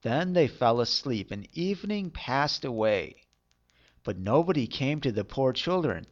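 A man's voice: mid-range voice, very animated, a little slow, highs cut off. A man narrating a fairy tale as a storyteller, reading expressively.

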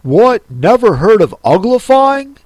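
Lady doing a brusque bossy beast from a storybook, loud and scolding, scornful, voice jumps about. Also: low voice, sing-song, slow pace, no echo, voice pushed up, exaggerated voice.

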